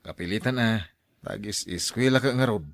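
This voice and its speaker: A man's voice: fairly low pitch, moderate pace, dry recording, close to an everyday voice. An actor playing a lovestruck young man, an eager and generous suitor, in a radio drama. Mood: excited.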